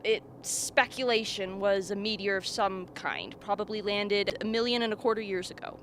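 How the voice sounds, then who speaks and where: Woman acting bright-voiced, matter-of-fact expedition scientist. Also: medium pitch, fairly even intonation, moderate pace, close to an everyday voice. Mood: explanatory. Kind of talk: radio drama acting. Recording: faint background noise.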